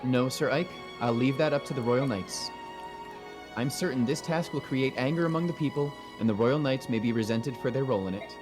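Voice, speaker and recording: A man voicing a young queen in game dialogue, gentle yet resolute, speaking formally and earnestly. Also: mid-range voice, brisk, music in the background, natural voice. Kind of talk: game dialogue read aloud in a fan dub.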